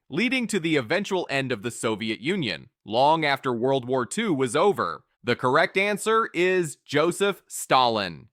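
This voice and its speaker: A man reads out quiz questions and answers in a scripted, energetic way. His voice is bright and slightly high, and his pitch swings widely for emphasis. The sound is close and dry. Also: dry recording. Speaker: quiz show narrator.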